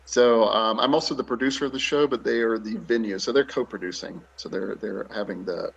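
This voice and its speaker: A man's voice: lowish voice, fairly even intonation, brisk, gravelly, husky, highs cut off. A man speaking casually as a show guest, with a joking, self-deprecating manner. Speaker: guest, actor and show producer. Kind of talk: casual chat.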